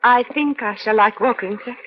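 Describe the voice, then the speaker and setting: A woman's voice: a little high, normal speed, lightly acted. Actress as eager British policewoman, muffled vintage sound. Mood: polite, eager. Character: young policewoman detective, eager and respectfully obedient. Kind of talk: old-time radio crime drama acting. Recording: dull, lo-fi vintage radio recording.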